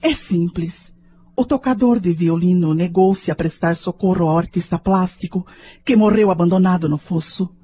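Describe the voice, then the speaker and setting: An earnest middle-aged mother, played by a woman, explains herself in a radio drama. Her voice is mellow and dark, with a husky grain. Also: fairly low pitch, moderate pace. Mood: earnest conviction.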